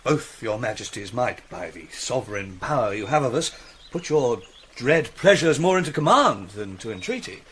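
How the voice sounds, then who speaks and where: An actor playing a polite, obedient young courtier in a classical Shakespearean drama. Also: male voice, mid-range voice, sing-song, normal speed, fairly bright tone, slight background noise. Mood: deferential.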